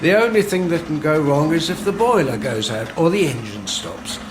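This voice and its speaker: Man as a lively, deferential handyman-engineer, roomy sound, audible noise behind. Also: on the high side, normal speed, natural voice. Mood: proud, friendly. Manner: deferential, chatty, says "Mum". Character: working-class handyman and electrical engineer, proud of his work. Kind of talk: radio drama acting.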